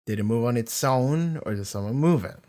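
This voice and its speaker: A man's voice: medium pitch, lively intonation, a little fast, noisy background. A young man giving casual, blunt, sweary running commentary as a reaction video host.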